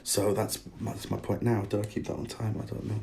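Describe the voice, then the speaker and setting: Young man, fairly low voice, casual storytelling, informal and hesitant, podcast co-host. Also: flat delivery, brisk, vocal fry, warm tone, a little hiss or hum.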